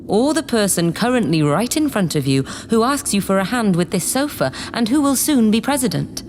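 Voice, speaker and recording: A woman's voice: lowish voice, darkish tone, slight background noise, lightly acted. A woman playing an imperious, scheming acting leader of a spaceship in a sci-fi comedy audio drama, commanding, condescending and sarcastic.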